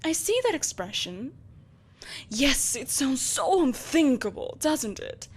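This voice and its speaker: A woman voicing an ancient, ageless sorceress empress in an audio roleplay, telling her tale in a grandiose, deliberate, condescendingly polite manner. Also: sits high, sing-song, breathy edge, full character voice. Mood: condescending, impassioned. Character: ancient, ageless evil sorceress empress, haughty, ruthless, possessive. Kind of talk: theatrical dramatic monologue, audio roleplay.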